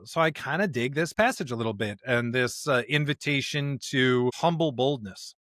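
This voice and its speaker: A man co-hosting a podcast in a conversational discussion of scripture, speaking emphatically in halting bursts and often checking in with a rhetorical question. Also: medium pitch, animated, fairly bright tone, dry recording, studio quality. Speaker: podcast co-host.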